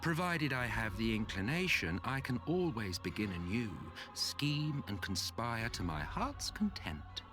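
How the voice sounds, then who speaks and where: An actor playing a condescending, weary immortal villain in a video game, with pompous, sardonic, drawling delivery. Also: male voice, mid-range voice. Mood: grand, persuasive. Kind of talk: dramatic video game voice acting. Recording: long hall reverb, music behind.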